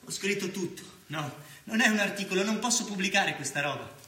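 An actor playing a frightened, driven journalist in love, speaking anxiously and urgently in a stage play. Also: male voice, sits high, expressive, fairly quick, bright, forceful tone. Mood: tense, troubled. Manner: anxious, urgent, confiding narration. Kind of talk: stage play monologue and dialogue. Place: live theatre stage. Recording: roomy theatre echo, faint noise.

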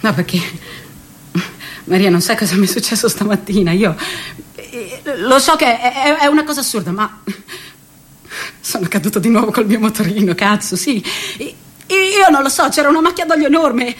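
A woman's voice: fairly high pitch, lively intonation, normal speed, pauses often. A woman performing a bitter, cocky thirty-year-old jobless man in a radio theatre monologue, restless and profane, swinging between muttering and outbursts. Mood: agitated.

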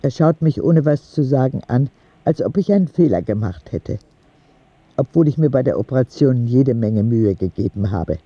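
A man's voice: medium pitch, expressive, moderate pace, breathy edge, dry recording, dull audio. A man playing an earnest, candid ten-year-old sick boy who narrates his letters in a radio drama. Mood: earnest, frustrated.

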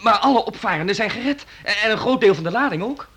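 An actor playing an eager, warm-hearted young companion in a radio drama, recounting things earnestly. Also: male voice, high-pitched, animated, quick pace, slightly rough, heavily acted. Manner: earnest, explanatory storytelling.

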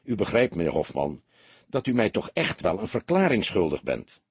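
A man voicing a laconic police detective in an audiobook, calm, unhurried and politely needling. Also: fairly low pitch, slightly rough, dry recording, full character voice. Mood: laconic, pressing. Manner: unhurried, dry, politely provocative. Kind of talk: audiobook character voice.

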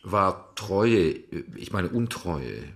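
A man's voice: deep voice, big pitch swings, extremely slow, vocal fry, exaggerated voice. A man playing a sober, probing private investigator in a German radio crime drama.

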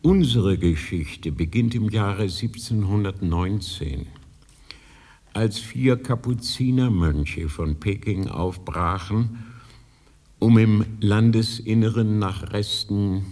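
An actor playing an ancient, frail High Lama in a radio drama, soft and courteous with a slightly melancholic manner. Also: male voice, takes it slowly. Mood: solemn storytelling. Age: older. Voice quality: soothingly soft. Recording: long hall reverb.

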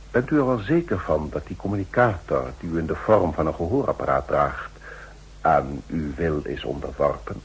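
A powerful, shrewd statesman in a 1960s radio drama. The voice is deep and very husky, formal and commanding, with animated intonation. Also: heavily acted, rough recording. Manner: formal, measured, authoritative. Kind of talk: 1960s Dutch radio play acting.

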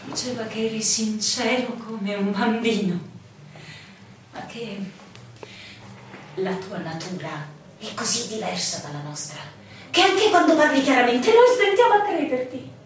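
An actress in a radio drama playing an anguished, devoted lover, impassioned, plaintive and fearful. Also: female voice, medium pitch, slight background noise, lightly acted. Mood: resigned. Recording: echoey, distant, music behind.

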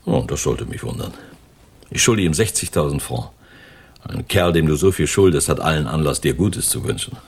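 An actor playing a sardonic, world-weary middle-aged criminal mastermind and lover in a German radio crime drama. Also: male voice, rumbling low, animated, normal speed, husky, heavily acted. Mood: calculating, assured.

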